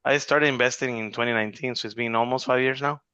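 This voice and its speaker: A man's voice: medium pitch, steady tone, quick pace, sharp tone, clean tone, dry recording, muffled recording. A man in his forties chatting on a video call about investing, rambling with many restarts and fillers. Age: 40s. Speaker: real estate investor in an accountability group. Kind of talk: casual video call chat.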